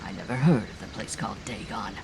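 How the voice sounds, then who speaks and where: A man voicing a heavy middle-aged farmer in a cult, a fanatic who shouts and rants in a strained, raucous voice. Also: lowish voice, a little fast, vocal fry, noisy background. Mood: cold. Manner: shouting fanatic, later sly and cold. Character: raving heavy male farmer cult follower.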